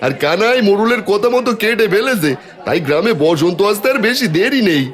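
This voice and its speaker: A man's voice: sits high, animated, a little fast, a faint crowd. A man voicing a sly, greedy village conniver in a cartoon, insinuating and exclamatory. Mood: rabble-rousing. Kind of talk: Bengali cartoon voice acting.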